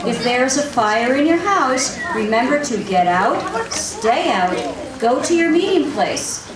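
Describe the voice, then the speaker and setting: A helpful puppet character, voiced by a woman, teaches children with emphatic, deliberate phrasing. The pitch is fairly high and swings freely, heard at a distance in an echoing, noisy room. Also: animated, over a noisy crowd. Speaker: puppeteer in a fire safety puppet show. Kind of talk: scripted children's puppet show dialogue. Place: live show in a room, recorded from the audience. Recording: roomy, distant, noisy live show recording.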